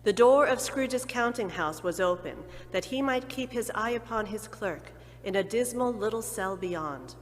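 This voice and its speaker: A woman reads a classic tale aloud with expressive, theatrical timing. She lingers on single words and lets her voice dip and swell. A long reverb trails each phrase, like a large hall. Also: mid-range voice, unhurried, hardly any pauses, a little hiss or hum. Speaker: narrator. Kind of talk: dramatic reading of a classic story.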